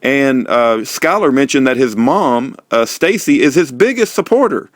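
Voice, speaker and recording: A man's voice: medium pitch, lively intonation, measured pace, no echo, studio quality. A middle-aged American man speaking as an informal host, rambling in a folksy, preacherly way with repeated phrases. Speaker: host, prisoner-support activist. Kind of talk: informal, rambling monologue to viewers.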